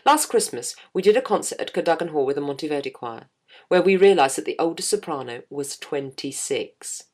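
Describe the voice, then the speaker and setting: A middle-aged woman with a notably deep, low voice reads her own writing aloud. Her narration is scripted but playful, with dry, self-mocking humour running through it. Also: animated, a little fast, a little mellow. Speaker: jazz singer and podcast host.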